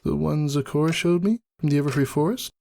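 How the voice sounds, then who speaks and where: A man voicing a sweet, confused, sickly female pony in a weak, raspy voice for a dramatic story reading. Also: a little high, fast talker, darkish tone, pitched above the performer's own voice. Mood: confused, frail.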